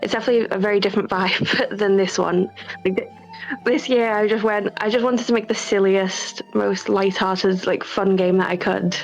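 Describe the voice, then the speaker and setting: A woman's voice: medium pitch, steady tone, moderate pace, muffled recording. A woman game developer chatting casually in an interview over an online voice call.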